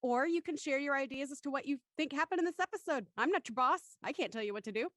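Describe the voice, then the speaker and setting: High-voiced woman hosting, playful and dry-miked. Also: fast talker, a bit bright, no room sound. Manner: playful, self-deprecating, joking. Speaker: host. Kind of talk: live show hosting, casual banter.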